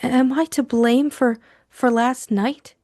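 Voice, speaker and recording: A woman voicing a timid, troubled young female client in an audiobook, hesitant and pleading, with a hushed, trembling delivery. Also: high-pitched, steady tone, slow pace, no room sound, clean studio sound, voice pushed up. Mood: desperate. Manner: hushed, hesitant, quivering, stammering. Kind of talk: audiobook character acting.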